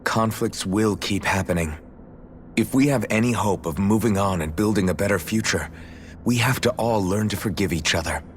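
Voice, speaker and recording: An actor playing an earnest, idealistic young hero in a video game, speaking sincerely and plainly. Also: male voice, on the low side, moderate pace, slight background noise, lightly acted. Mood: earnest, hopeful. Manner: sincere, plain-spoken, informal. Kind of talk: dramatic video game cutscene acting. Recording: music playing behind the voice.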